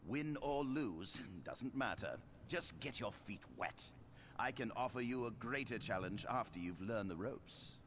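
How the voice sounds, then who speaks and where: An actor playing a hearty, grandiose battlemaster who relishes bloody arena games in a video game. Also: male voice, medium pitch, lively intonation, unhurried, a bit bright, slight background noise. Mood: hearty challenge. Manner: theatrical, grandiose. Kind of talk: video game character dialogue.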